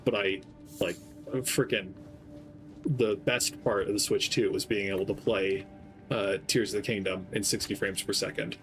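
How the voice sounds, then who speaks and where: A young man with a lowish, soft-spoken voice rambles gently while streaming a game. He talks in an easy, unhurried way, filling pauses with ums. Game music plays clearly underneath him. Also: steady tone, rough recording. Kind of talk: casual gameplay stream chat. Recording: game music playing behind.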